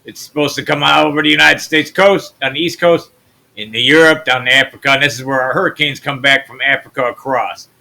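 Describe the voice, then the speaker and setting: Gravelly, bright-edged man rambling over his screen. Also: fairly even intonation, brisk. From US Midwest. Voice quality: naturally deep voice. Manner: loose and wandering, trailing off into mutters. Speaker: channel host. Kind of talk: rambling screen-share commentary.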